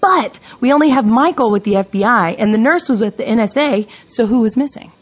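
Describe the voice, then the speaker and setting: An actress playing a brash, confident amateur sleuth in an audio drama, blunt and sarcastic. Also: female voice, fairly high pitch, expressive, fairly quick, slightly rough. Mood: confident, explaining. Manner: sarcastic, blunt, self-assured.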